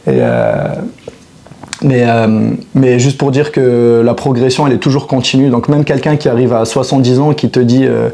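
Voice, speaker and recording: A man's voice: mid-range voice, expressive, moderate pace, gravelly, warm tone. A man in his thirties, a fitness coach, talking reflectively to camera in a casual monologue, full of hesitations and restarts. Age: 30s.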